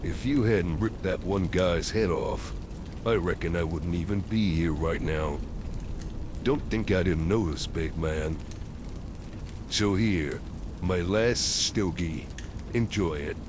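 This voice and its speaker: A man's voice: sits low, slow pace, noisy background. A man playing a cocky, crude, battle-hardened sergeant in an audio drama, brash, swaggering and sardonic. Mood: gruff, friendly. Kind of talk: audio drama character acting.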